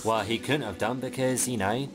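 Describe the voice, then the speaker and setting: A man's voice: mid-range voice, moderate pace, noisy background. A young man giving casual, sweary let's play commentary as a gaming commentator. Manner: swears casually, acts out imagined dialogue.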